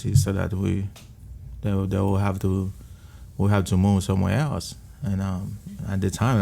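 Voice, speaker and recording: Gravelly low-voiced Haitian man telling his story. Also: mellow. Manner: halting, repeats words, earnest. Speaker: restaurant owner and missionary. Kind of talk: personal storytelling in an interview. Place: restaurant.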